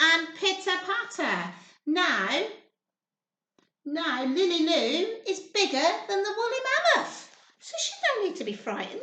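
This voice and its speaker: Animated high-voiced woman reading to children. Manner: encouraging, expressive, often asking the children questions. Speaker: storyteller at a childcare group. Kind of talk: animated read-aloud for small children. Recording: roomy echo, faint background noise.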